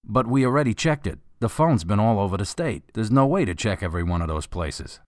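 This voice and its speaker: Male police officer, radio drama, lowish voice, wry, blunt, practical, dry close recording. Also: big pitch swings, brisk, no echo. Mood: exasperated.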